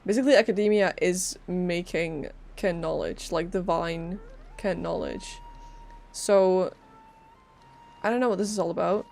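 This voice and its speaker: A woman's voice: lowish voice, unhurried, vocal fry, a little mellow. A woman live-streaming a game with casual, chatty and playful commentary. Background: faint game music.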